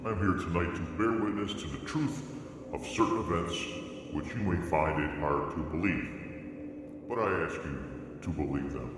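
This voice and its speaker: A man playing a grave gentleman witness in a radio drama, speaking in a solemn, formal, deliberate way. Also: deep voice, close to an everyday voice. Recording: very echoey, distant, noisy.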